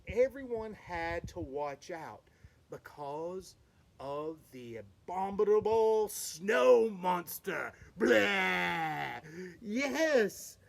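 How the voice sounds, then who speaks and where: A man's voice: sits high, creaky. A man reading a children's picture book aloud, playfully talking to his young audience.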